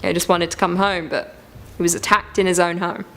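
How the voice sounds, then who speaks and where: A woman's voice: fairly low pitch, little pitch movement, brisk, noisy background. A woman narrating scripted countdown stories as a storyteller, with brief wry asides.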